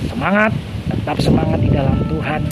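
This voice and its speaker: Male preacher, higher-pitched voice, earnest devotional exhortation, prominent music bed. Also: a little fast. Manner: earnest, exhortatory, with repeated phrases and rhetorical questions. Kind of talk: devotional sermon. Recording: loud music under the voice. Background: loud music.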